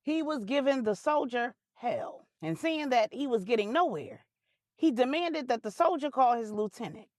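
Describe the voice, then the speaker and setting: A woman's voice: a little high, lively intonation, normal speed, darkish tone, no room sound, studio quality. A woman narrating a scripted drama story for adults in a dramatic, colloquial storytelling manner with slangy touches.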